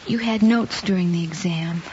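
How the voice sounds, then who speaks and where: A woman's voice: lowish voice, normal speed, darkish tone, natural voice. An actress playing a timid, apologetic teenage girl student in an old screen drama, speaking in a hesitant, pleading way. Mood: hesitant, upset. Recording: dull old film soundtrack, some noise.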